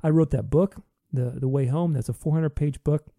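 A man's voice: fairly high pitch, normal speed, vocal fry, warm tone, no room sound, clean studio sound. A man hosting a podcast, talking earnestly in a reflective solo monologue, with many restarts. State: recovering from voice loss.

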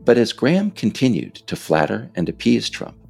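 An American man reading scripted political narration in a measured, emphatic, explanatory way. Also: mid-range voice, huge pitch swings, vocal fry, darkish tone, husky, faint music behind. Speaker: author narrating his audiobook episode.

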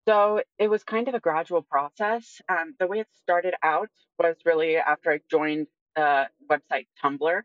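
Female guest, early 20s, measured and conversational, slightly muffled lo-fi audio with little room sound. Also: deep voice, steady tone, dry recording. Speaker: interview guest. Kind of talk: reflective personal account in conversation.

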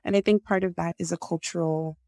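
Reflective guest, woman, middle-aged, from Nigeria, a bit gravelly, dry sound. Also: on the low side, fairly even intonation, a little fast, warm tone, no room sound. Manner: thoughtful, reflective, laughs often. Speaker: naturopathic physician guest. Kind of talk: conversational interview.

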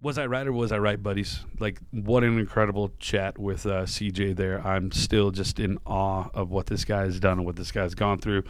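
A tired male podcast host with a lowish, smooth voice, recorded dry and close. He rambles on with plenty of fillers and restarts. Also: flat delivery, moderate pace, few pauses, no room sound. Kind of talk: casual podcast chat and interview.

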